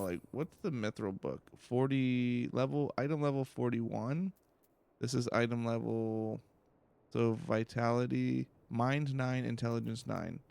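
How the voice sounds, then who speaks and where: Man with a slightly creaky voice, musing. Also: mid-range voice, darkish tone. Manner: muses aloud to himself. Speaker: let's play streamer. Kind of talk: casual, low-key video game commentary.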